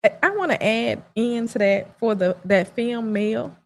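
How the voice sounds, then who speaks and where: A woman's voice: on the high side, moderate pace, gravelly. A woman explaining her view earnestly in a casual panel discussion.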